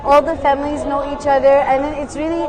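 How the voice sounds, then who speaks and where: A woman from Saudi Arabia answering interview questions in a relaxed way, with many fillers like "like" and "you know". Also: high voice, fast, over music. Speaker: interview guest. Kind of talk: casual conversation. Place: busy expo hall. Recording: crowd chatter behind.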